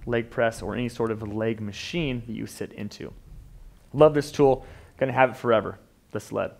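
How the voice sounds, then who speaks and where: Brief: man, 30s, fitness educator in a studio gym, mid-pitched voice, energetic and emphatic, repeats words as he restarts. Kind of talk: unscripted equipment walkthrough to camera. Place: indoor gym studio with turf floor.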